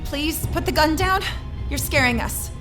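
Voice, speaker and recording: An actress playing a frightened but plucky ship's pilot, stammering and urgent, in an audio drama. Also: female voice, high voice, a little slow, music in the background. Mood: scared, pleading.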